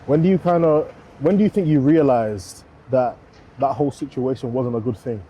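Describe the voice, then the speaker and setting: A man's voice: medium pitch, moderate pace, breathy edge, highs cut off. A man asking informal, probing questions as the interviewer in a conversational interview.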